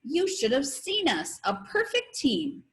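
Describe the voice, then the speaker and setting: A woman reads as an earnest young boy magician from a storybook. He speaks formally and precisely, with noble, courteous sincerity, pitched a little upward. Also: wildly swooping pitch, slow pace, exaggerated voice. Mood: pleased, proud.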